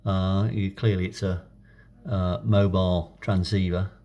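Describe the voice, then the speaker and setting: A man presents a hobby demonstration. His delivery is casual and unhurried, with hesitations as he thinks out loud while he works. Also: deep voice, flat delivery, dull audio. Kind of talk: casual hands-on demonstration narration.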